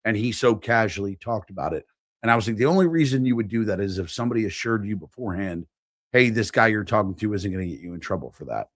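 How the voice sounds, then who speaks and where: A man's voice: fairly low pitch, expressive, fast, fairly bright tone, dry recording, studio quality. Frank male guest from Philadelphia. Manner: frank, opinionated, emphatic. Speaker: podcast guest. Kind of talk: casual political chat.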